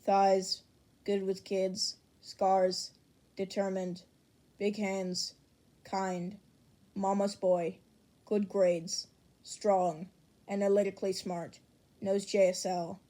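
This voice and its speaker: A woman's voice: mid-range voice, flat delivery, slow pace, pauses often, warm tone, natural voice. A woman voicing a frank, infatuated teenage student in an audiobook, understated and matter-of-fact.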